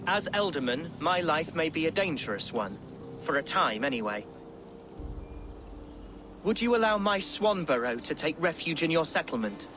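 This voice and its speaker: An actor playing an earnest young alderman in a game cutscene, speaking formally and warmly to an ally. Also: a little high, sing-song, normal speed, pauses often, noisy background. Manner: formal, heartfelt, self-deprecating. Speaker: newly named alderman of a shire. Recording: game cutscene with loud music.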